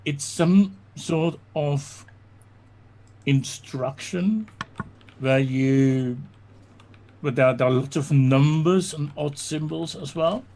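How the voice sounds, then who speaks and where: A man runs a tabletop game as its game master, talking slowly and unhurriedly. He describes each scene haltingly, stopping often to search for words. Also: fairly high pitch, warm tone, a little hiss or hum. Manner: halting, many fillers and restarts.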